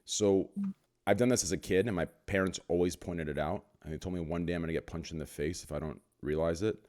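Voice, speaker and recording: A man with a deep voice, hosting a casual podcast chat, talking in an excitable, heated way and swearing a lot. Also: fast talker. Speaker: podcast host.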